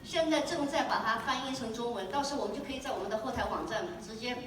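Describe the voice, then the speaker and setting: A woman interpreting a stage talk consecutively, restating points quickly with fillers. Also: fairly high pitch. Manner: quick, with fillers, restating in her own words. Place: large convention hall. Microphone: handheld mic, recorded from far in the audience. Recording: echoey hall taped from far off, noisy.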